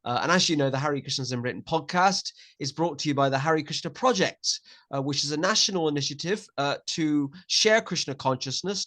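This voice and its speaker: A man's voice: fairly high pitch, fairly bright tone. Chatty male podcast host. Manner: chatty, repeats words, many filler uhs. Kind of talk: hosting an interview podcast.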